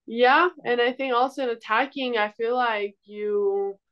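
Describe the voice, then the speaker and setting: A woman's voice: a little high, a little slow, highs cut off. A young woman from Greece, a volleyball player answering interview questions in a casual, hesitant, informal way. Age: young adult.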